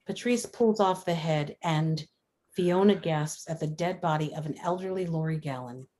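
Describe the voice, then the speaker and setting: A woman's voice: low voice, moderate pace, dark tone. A woman narrator reading screenplay stage directions aloud in a scripted style.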